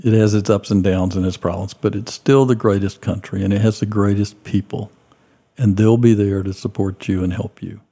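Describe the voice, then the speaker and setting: A man's voice: fairly low pitch, steady tone, normal speed, warm tone, breathy edge. A man from Georgia, a podcast guest, speaking with sincere conviction.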